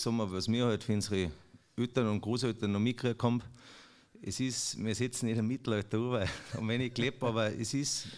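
A man from Austria with a Pinzgau dialect accent, a dialect poet interviewed as a guest, talking in rambling, halting sentences. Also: medium pitch. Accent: Pinzgau dialect, Salzburg. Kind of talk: radio interview.

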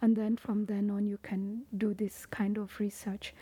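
Soft, husky Kenyan woman researcher. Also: medium pitch, little pitch movement, a little slow, no room sound. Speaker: cheetah researcher, interviewee. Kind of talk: casual interview.

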